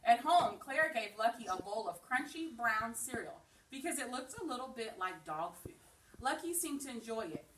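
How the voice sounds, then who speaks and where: Female storytime reader, preschool teacher type, high lively voice, questions the kids; roomy distant recording. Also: a crowd in the background. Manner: pauses to question children and echo their answers. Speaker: preschool teacher reading aloud. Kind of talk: animated picture-book read-aloud to young children. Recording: roomy, distant mic, faint noise.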